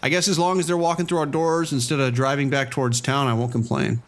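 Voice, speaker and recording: An easygoing male casino boss, played by an actor in a radio drama. His voice sits at a medium pitch. He is watchful and supportive, speaking casually and in a measured way. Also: little pitch movement, close to an everyday voice. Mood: mildly uneasy. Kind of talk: scripted horror audio drama radio exchange.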